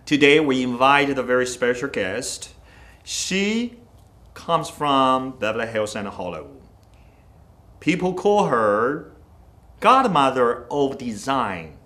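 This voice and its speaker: A middle-aged man hosts a TV studio interview in English, his native tongue being Chinese. His voice sits at an ordinary middle pitch. He pauses often with fillers while he builds his questions. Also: sing-song, bright, forceful tone. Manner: halting non-native English, many fillers. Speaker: TV talk show host. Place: TV studio set.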